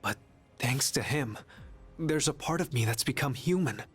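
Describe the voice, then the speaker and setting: An actor playing a gentle young harbinger of death in human form for a video game, speaking softly with grave, resigned, pleading delivery. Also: male voice, medium pitch, animated, normal speed, soft background music, close to an everyday voice. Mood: solemn, persuading. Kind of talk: English video game voice acting.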